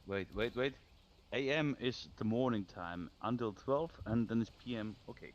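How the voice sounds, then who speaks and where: A man's voice: mid-range voice, moderate pace, highs cut off. A man chatting casually as a guest in a voice call, swearing freely, laughing and restarting his sentences. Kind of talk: casual voice chat. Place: home room. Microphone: desk microphone.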